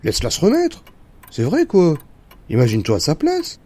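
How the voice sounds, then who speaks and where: A man's voice: on the high side, wildly swooping pitch, moderate pace, warm tone. An actor playing a patient, understanding father of a teenager in an amateur audio drama. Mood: pleading patience.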